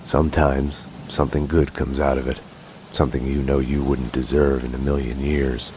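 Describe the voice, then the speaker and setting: An actor playing a brooding, world-weary hardboiled detective, narrating a video game in grave, poetic monologue. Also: male voice, very deep voice, little pitch movement, moderate pace, darkish tone, a little hiss or hum. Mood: grim, reflective. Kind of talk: scripted noir game narration.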